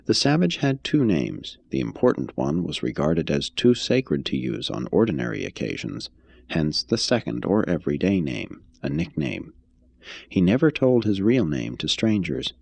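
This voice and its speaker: A man narrates a scripted text in a very low, husky voice. His delivery is measured and formal, yet the pitch moves in lively swings. The sound is dry and close. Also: dry recording. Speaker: reader, narrator. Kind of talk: scripted audiobook-style reading of an expository text.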